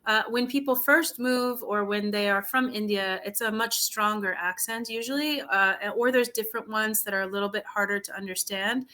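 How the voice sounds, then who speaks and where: Bright-voiced young woman tutor. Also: mid-range voice, moderate pace, few pauses. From United States. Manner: relaxed, hesitant, lots of "like" and "I don't know". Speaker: English tutor. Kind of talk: casual conversation practice. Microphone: video call.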